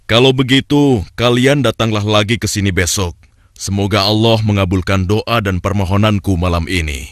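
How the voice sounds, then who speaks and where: A man voicing a grave, patient prophet in a religious cartoon, speaking in a solemn, measured, admonishing way. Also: lowish voice, no room sound, natural voice. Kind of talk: Indonesian cartoon religious story dialogue.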